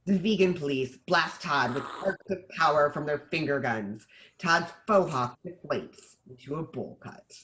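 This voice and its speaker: A narrator reading stage directions in an animated way for a screenplay table read. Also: mid-range voice, measured pace.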